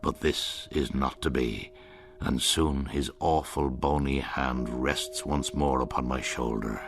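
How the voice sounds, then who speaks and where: Very deep man, wry narration. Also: fairly even intonation, takes it slowly, creaky, slight background noise. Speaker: narrator, a piper. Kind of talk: first-person radio drama narration.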